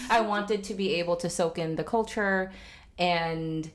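A young woman with a slightly low-pitched voice chats casually on a podcast. Her first language is Cantonese, and she talks with many fillers, restarts and easy laughter. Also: measured pace. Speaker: podcast guest. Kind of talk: casual chat.

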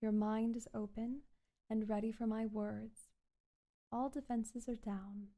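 A woman performing an ASMR hypnosis roleplay as a calm, controlling doctor, speaking in a soothing, repetitive, coaxing way. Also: a little high, a little slow. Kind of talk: ASMR hypnosis roleplay, guided relaxation script.

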